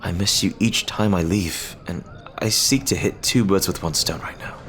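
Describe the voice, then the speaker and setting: A lovestruck pirate captain, played by a man in an audio roleplay. His voice is low and deep. He speaks earnestly and hesitantly, with tender, formal, old-fashioned phrasing. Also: moderate pace, fairly bright tone, a little hiss or hum. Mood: tender, pleading. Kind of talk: ASMR roleplay acting.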